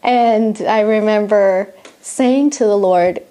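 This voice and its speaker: A woman's voice: medium pitch, slow pace, dark tone. A middle-aged woman from Argentina, a native Spanish speaker, telling her personal faith story in an earnest, reflective way with some restarts. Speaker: missionary. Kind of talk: personal testimony.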